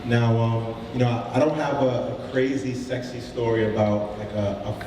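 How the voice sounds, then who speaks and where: A man who founded a startup gives an informal pitch talk to a live audience, with many fillers and restarts. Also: fairly even intonation, moderate pace, noisy background. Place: event room with audience. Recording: roomy hall, mic from a distance.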